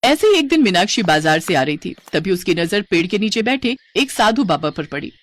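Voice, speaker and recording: A woman's voice: mid-range voice, very animated, brisk. A woman working as a storyteller, narrating a scripted moral tale.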